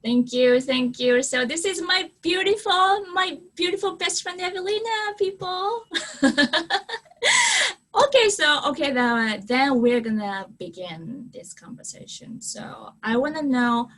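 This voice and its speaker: A woman's voice: high voice, expressive, unhurried, fairly bright tone. A woman hosting a casual chat, bubbly and effusive in her talk. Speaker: host of an English-learning channel. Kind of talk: casual video-call chat.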